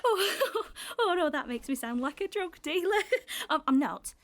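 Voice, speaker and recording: A woman's voice: high-pitched, expressive, unhurried, a bit bright, heavily acted. A British woman playing a chatty, motherly, apologetic hotel housekeeper who rambles and fusses deferentially in an audio drama. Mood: eager to help.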